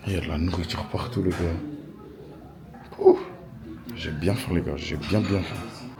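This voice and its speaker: A man's voice: deep voice, normal speed. A young man in his twenties with a French accent, vlogging casually in an airport lounge, slangy and chatty, talking straight to his viewers. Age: 20s. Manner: slangy, chatty, addresses viewers as "les gars". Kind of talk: casual vlog talk to camera. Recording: noisy airport ambience.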